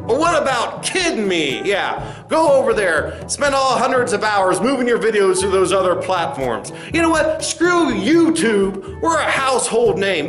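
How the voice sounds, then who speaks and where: A man playing a high-voiced, condescending clown spokesman. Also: a bit bright, heavily acted. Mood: scornful, gloating. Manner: mocking, condescending, drawn-out emphatic delivery. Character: smug, taunting clown corporate spokesman of a video site. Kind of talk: comedy sketch monologue. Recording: roomy echo with faint music behind.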